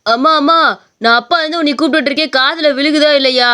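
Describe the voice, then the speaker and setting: A woman's voice: high-pitched, fast, bright, forceful tone. A woman voicing a brash, petulant and demanding young man in a story. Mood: whining, demanding. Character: brash, demanding young man, idle son of idli seller.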